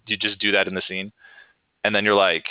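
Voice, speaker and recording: A man hosts a casual podcast chat and rambles in an animated way, often restarting his thoughts. His voice is a little low for a man. The audio is tinny and dry, like a video call. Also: a little fast, dry recording. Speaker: podcast host, improviser.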